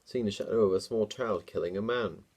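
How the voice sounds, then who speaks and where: Man acting police officer, blunt, accusing, brisk, fantasy story reading, lowish pitch. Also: darkish tone, close to an everyday voice. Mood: stern.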